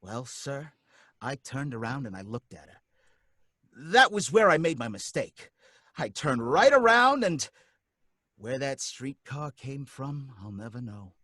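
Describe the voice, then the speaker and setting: A jittery, slangy cab driver. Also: male voice, medium pitch, unhurried, dry recording, exaggerated voice. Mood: rattled recounting. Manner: excitable, pleading, folksy slang. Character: jittery working-class male cab driver. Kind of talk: old-time radio drama.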